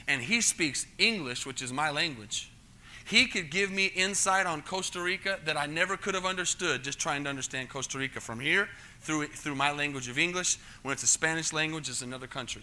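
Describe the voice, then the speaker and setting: Bright-voiced American pastor teaching a congregation. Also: male voice, fairly high pitch. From United States. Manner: conversational teaching, repeats words, asks the congregation if they follow. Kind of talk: sermon.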